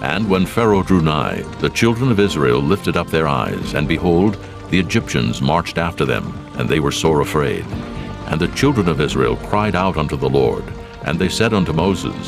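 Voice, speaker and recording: A man narrating a dramatized scripture reading in a slow, solemn, measured way. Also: rumbling low, sing-song, creaky. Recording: narration over music.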